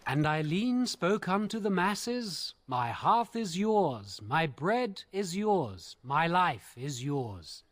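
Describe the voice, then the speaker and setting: Pious fantasy game chanter: solemn declaimer, high-pitched, slow and melodic scripture recital. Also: male voice, big pitch swings.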